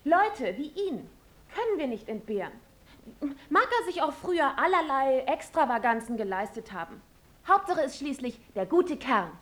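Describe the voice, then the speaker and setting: High-voiced, self-assured stage diva. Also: female voice, big pitch swings, normal speed, fairly bright tone. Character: influential actress, gushing, self-assured favourite of the powerful. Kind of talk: German radio drama acting.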